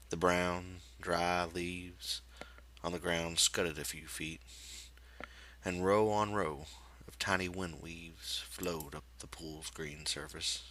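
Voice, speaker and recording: A man reading literary fiction aloud as an audiobook narrator, with measured, deliberate phrasing. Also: low voice, bright, forceful tone, slight background noise. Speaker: audiobook reader.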